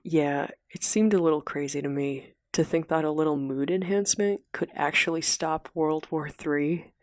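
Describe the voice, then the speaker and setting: A woman's voice: low-pitched, normal speed, a little mellow, dry recording, studio quality, lightly acted. A woman voicing a modest, doubtful teenage boy in an audiobook, with a hesitant, sheepish manner. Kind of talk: audiobook character acting.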